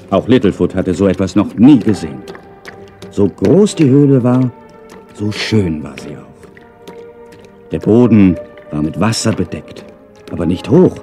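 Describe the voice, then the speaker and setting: Low, mellow male story narrator. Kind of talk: scripted children's story narration.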